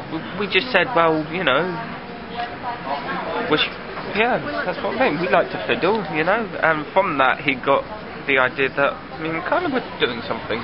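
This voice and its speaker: A young man with a blocked nose from a cold, chatting casually into a handheld camera and laughing often. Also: medium pitch. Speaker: vlogger. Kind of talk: casual daily vlog chat. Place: bedroom at home, later a busy cafe. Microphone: handheld camera at arm's length. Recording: slightly dull lo-fi camera audio, busy crowd.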